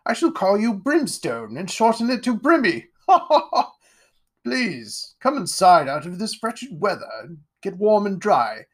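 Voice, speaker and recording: A man's voice: high voice, sing-song, a little slow, voice pushed up, full character voice. A man playing a pompous, jovial nobleman. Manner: grand, theatrical, plummy delivery.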